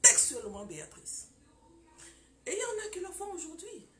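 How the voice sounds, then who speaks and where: A woman's voice: very high voice, very animated, normal speed, pushed and bright. Impassioned woman preaching in an echoey room. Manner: impassioned, repeats phrases, rhetorical questions. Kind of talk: preaching testimony talk. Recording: echoey room with faint ambience.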